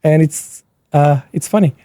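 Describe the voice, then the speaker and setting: A middle-aged man with a foreign Slavic accent, answering as an immigration attorney guest in a measured way, repeating words for emphasis. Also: fairly high pitch, mellow, no echo, clean studio sound. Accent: foreign, heavy Slavic. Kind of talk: conversational interview answers.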